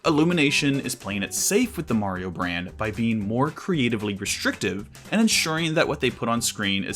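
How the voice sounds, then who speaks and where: A man delivering scripted commentary as a video reviewer, in a measured, articulate way with emphatic phrasing. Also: medium pitch, fairly bright tone.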